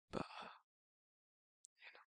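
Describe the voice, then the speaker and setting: A British man playing a protective but awkward male werewolf in an intimate romantic ASMR roleplay, speaking in a halting, flustered, husky voice. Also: bright, forceful tone, no room sound, full character voice. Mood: apologetic, protective. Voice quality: gravelly, husky, breathy. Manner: flustered, halting, stammering, trailing off.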